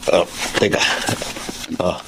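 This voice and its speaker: An actor playing a generous, friendly well-off car owner in a Filipino radio drama, talking in a genial, chatty way. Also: male voice, slow, close to an everyday voice. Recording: faintly noisy radio drama recording.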